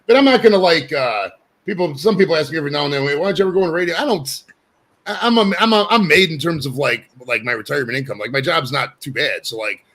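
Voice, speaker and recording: A man's voice: on the high side, quick pace, fairly bright tone, a little hiss or hum. A man in his forties hosting a casual podcast from his home studio, rambling and swearing freely as he talks. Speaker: podcast host. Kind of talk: casual chat.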